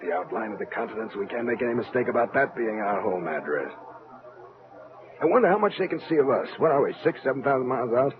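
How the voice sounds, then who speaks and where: A narrow-band vintage radio recording carries a man acting as a brash spaceship major. He talks casually and bluntly, with folksy turns and very animated, mid-pitched intonation. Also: fast talker, a crowd in the background, close to an everyday voice. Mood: jocular. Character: brash, plain-spoken military spaceship pilot, a major. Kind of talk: old-time radio drama acting.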